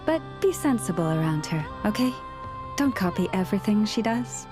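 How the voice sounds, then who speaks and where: An actress plays a gentle young woman in a video game. She speaks in a measured, articulate way at a middling pitch, composed and quietly wry, with music clearly playing behind her. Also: big pitch swings, close to an everyday voice. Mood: gently indulgent. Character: gentle, composed young woman who understands animal speech.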